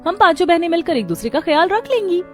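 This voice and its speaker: A woman's voice: very high voice, very animated, quick pace, soft background music. A woman playing a carefree, spoiled young daughter in a moral story, with a girlish, coaxing manner. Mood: breezy, coaxing. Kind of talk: Hindi moral story voice acting.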